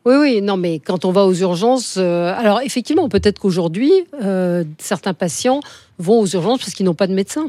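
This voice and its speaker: A woman's voice: mid-range voice, expressive, moderate pace, warm tone, no echo. A woman hosting a radio debate, moderating and interjecting with questions. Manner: probing, interjects often. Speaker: radio host. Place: radio studio. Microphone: studio microphone.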